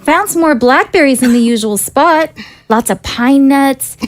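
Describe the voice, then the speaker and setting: A woman's voice: high voice, measured pace. A woman playing a spirited teenage daughter, earnest and a little defiant. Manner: lively, earnest, a bit defiant.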